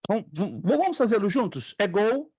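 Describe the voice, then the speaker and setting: A middle-aged man whose first languages are Spanish and Hebrew leads a class, repeating each word so students can echo it. His voice sits fairly high for a man. The sound is close and dry, with no room around it. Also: very animated, brisk, a little mellow, slightly rough. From Brazil. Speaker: theology teacher. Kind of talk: online class lecture.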